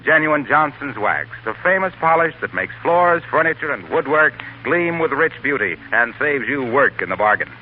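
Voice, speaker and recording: A man's voice: mid-range voice, slight background noise. A man with an American accent announcing in polished, declamatory 1940s radio style. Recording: tinny 1940s radio recording.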